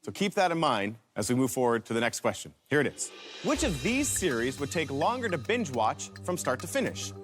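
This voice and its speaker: A man hosting a game show, reading rules and questions in an energetic announcer style. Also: mid-range voice, a little fast. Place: TV studio. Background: background music.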